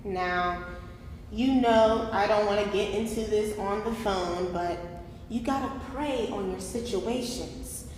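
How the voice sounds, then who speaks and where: A woman's voice: medium pitch, slow pace, darkish tone, close to an everyday voice. A woman playing a doting, outspoken mother in a staged play reading, coaxing and folksy, with an emphatic delivery. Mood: coaxing, encouraging. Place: live staged reading in a reverberant room. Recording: very echoey hall, some background noise.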